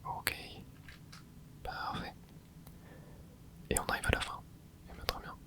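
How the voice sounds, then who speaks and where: A man whispering casual commentary as he plays a video game for an ASMR channel, dropping small interjections and explaining the game to his viewers. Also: slow. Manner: soft whispering with little interjections while playing. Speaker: ASMR gaming channel host.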